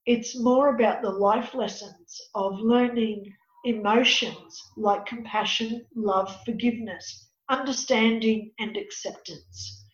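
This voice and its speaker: Raspy-voiced woman in her fifties. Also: fairly high pitch, steady tone, slow pace. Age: 50s. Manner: emphatic, preachy, repeats "you know". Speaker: interview guest, life coach. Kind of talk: earnest personal conversation. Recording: echoey, dull lo-fi audio.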